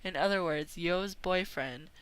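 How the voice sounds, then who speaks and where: Low-pitched woman reading a game story aloud. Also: unhurried, a bit bright, smooth, dry recording. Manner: stumbles over words while reading. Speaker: visual novel let's player. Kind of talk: reading a visual novel script aloud. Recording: music playing behind the voice. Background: game music.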